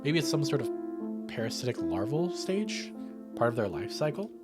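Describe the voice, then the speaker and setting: A man's voice: medium pitch, very animated, normal speed, close to an everyday voice. A man voicing a skeptical, caring young woman investigator in a visual novel, earnest and insistent. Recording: music playing behind the voice.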